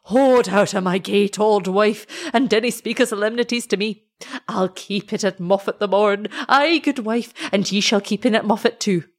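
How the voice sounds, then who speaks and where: A woman voicing an excitable, tender old shepherd in a story, with a Scottish accent and broad, exclamatory dialect speech. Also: mid-range voice, moderate pace, natural voice. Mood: hasty, bossy.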